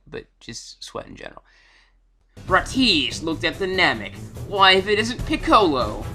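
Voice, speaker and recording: A man narrating a mock-dramatic reading of fan fiction in a deliberate manner, taking awkward text as written. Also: mid-range voice.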